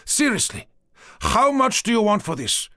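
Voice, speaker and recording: A man voicing a small, excitable chieftain who speaks in high, drawn-out, giddy exclamations. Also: dry recording, studio quality, heavily acted. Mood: eager.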